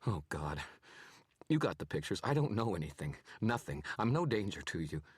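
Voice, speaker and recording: A man's voice: deep voice, a little fast, slightly rough, no room sound, clean studio sound, pitched above the performer's own voice. A man voicing a frightened, stammering male photographer in an audiobook, pleading and nervous. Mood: terrified. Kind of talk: audiobook character reading.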